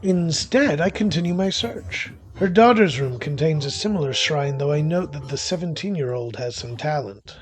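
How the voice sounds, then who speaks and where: A man's voice: fairly high pitch, lively intonation, moderate pace, few pauses, natural voice, poor audio. A man playing a weary, cynical male witch, narrating a horror thriller audio drama in the first person in a wry, brooding, theatrical way. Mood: wary, resigned. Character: weary, cynical male witch hunting through a haunted house. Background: music behind the voice.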